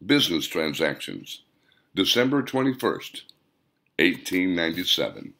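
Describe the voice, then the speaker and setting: A man narrates an audiobook in a deep, low register. The delivery is measured and deliberate, broken into short phrases, with lively intonation that moves widely up and down. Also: vocal fry. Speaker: author narrating their own audiobook.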